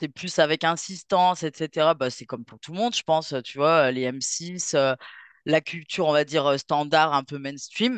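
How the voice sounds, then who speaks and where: A woman's voice: sits low, clear, resonant tone, no echo. A woman from France with a French accent, telling her story informally as an interview guest, thinking aloud as she goes. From France, near Saint-Étienne. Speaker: festival founder, interview guest. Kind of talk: casual chat, personal storytelling.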